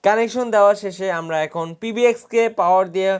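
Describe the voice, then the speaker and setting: A man's voice: sits high, fast talker, clean tone. A man narrating a scripted how-to tutorial, explaining step by step. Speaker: tutorial host.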